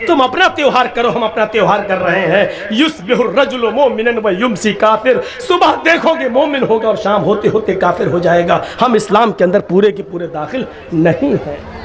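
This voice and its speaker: High-voiced man preaching with fervor. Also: lively intonation, crowd noise. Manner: impassioned, often shouting, recites Arabic prayers slowly. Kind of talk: Islamic sermon.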